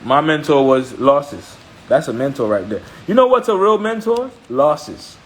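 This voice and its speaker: A man's voice: on the high side, very animated, measured pace, rough recording. A man from Haiti speaking as a trading mentor on a live stream, blunt and emphatic, repeating points and checking in with listeners. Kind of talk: motivational live-stream talk.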